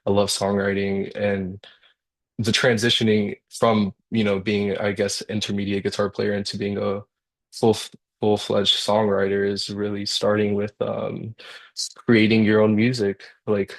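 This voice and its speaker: A young man from Colombia, a guitarist and songwriter, talking casually in a workshop over a video call and pausing often with fillers and restarts. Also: lowish voice, completely flat delivery. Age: young adult. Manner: halting, many fillers and restarts.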